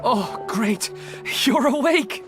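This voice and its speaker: Game bit part: eager, friendly townswoman, animated, a bit low, clear music underneath. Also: slow pace. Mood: relieved. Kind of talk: video game voice acting.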